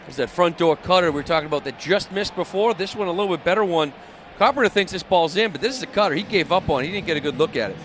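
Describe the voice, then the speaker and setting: Man, baseball color commentator, fairly fast talker, slightly high pitch, informal and explanatory, faint stadium noise. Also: expressive, a bit bright. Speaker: color analyst. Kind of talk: live baseball radio commentary, conversational analysis. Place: stadium broadcast booth.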